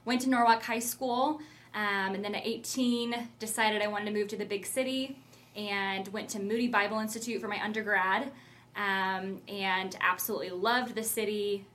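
A woman's voice: mid-range voice, fairly even intonation, normal speed, fairly bright tone. A woman in her twenties from Iowa, a youth ministry staffer answering interview questions in an earnest, friendly way with lots of fillers.

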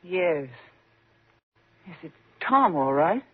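An actress playing an agitated, pleading, frightened woman in a 1950s radio thriller, speaking haltingly. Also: fairly low pitch, big pitch swings, slight background noise. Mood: subdued, shaken. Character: frightened, insistent woman haunted by a convict. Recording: muffled, lo-fi 1950s radio recording.

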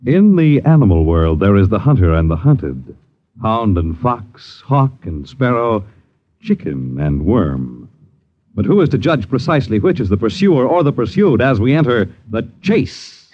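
A man's voice: on the low side, very animated. A man narrating and announcing in a dramatic, portentous 1950s radio style. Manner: portentous, measured. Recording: dull vintage radio recording, music.

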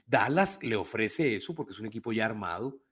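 A man's voice: fairly low pitch, lively intonation, brisk, a bit bright, slightly rough. A man with a Colombian Spanish accent co-hosting a sports podcast, talking animatedly and restarting his sentences often. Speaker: podcast co-host. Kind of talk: casual sports talk.

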